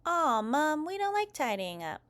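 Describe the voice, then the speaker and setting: A playful, bossy little girl puppy in a cartoon storybook, voiced by a woman. The voice jumps high and exclaims or pleads in a childlike way. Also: very animated, fairly quick, vocal fry, heavily acted. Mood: bossy, whiny.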